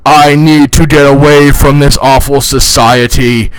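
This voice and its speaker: A man's voice: medium pitch, fairly even intonation, unhurried, clear, resonant tone, no room sound, natural voice, rough recording. A man voicing an entitled, self-pitying bride-to-be in a theatrical, indignant, profane dramatic reading for comedy. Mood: weary, self-pitying.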